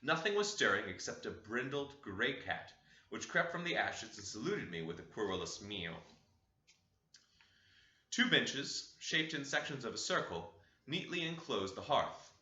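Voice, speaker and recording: Expressive male audiobook narrator. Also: medium pitch, measured pace. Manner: expressive, dramatic reading. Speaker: audiobook reader. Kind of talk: audiobook narration of a classic novel. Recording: roomy, dull lo-fi recording.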